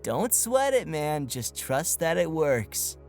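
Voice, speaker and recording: A man's voice: on the high side, very animated, takes it slowly, darkish tone, faint music behind, heavily acted. A man playing a laid-back, cocky young student with a casual, breezy drawl in a comedy audio drama. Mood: reassuring.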